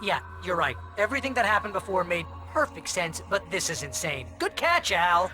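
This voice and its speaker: A man's voice: sits high, very animated, moderate pace, full character voice. An actor playing a brash imaginary best pal in a video game, glib, slangy and sarcastic as he teases and needles. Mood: sarcastic, teasing. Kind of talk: comic game dialogue. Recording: loud music behind the voice.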